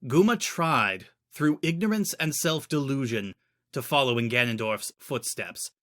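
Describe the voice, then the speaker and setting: A man reads as a commanding fantasy court wizard with a mid-pitched voice. He is formal and declamatory, with cutting contempt in how he addresses others. The sound is close and dry, like an audiobook. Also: pushed and bright, no room sound.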